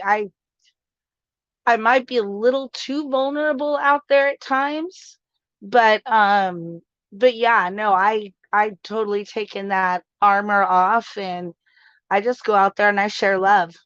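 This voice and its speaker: A woman's voice: medium pitch, steady tone, slow pace, fairly bright tone, clean tone, no echo, lo-fi sound. A woman co-hosting a casual live stream, upbeat and chatty, often saying "you know". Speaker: co-host.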